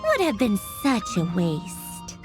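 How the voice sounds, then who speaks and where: A woman's voice: fairly high pitch, huge pitch swings, takes it slowly, over music, exaggerated voice. A woman playing a haughty, sarcastic, easily irritated young woman inventor in an anime-style video game, with a dismissive, theatrical delivery. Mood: reflective.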